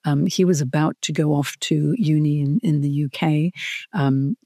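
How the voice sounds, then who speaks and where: A woman's voice: deep voice, dark tone, dry recording, studio quality. A middle-aged woman from Hong Kong, a career coach, telling her life story in an interview, earnest and reflective, with small restarts.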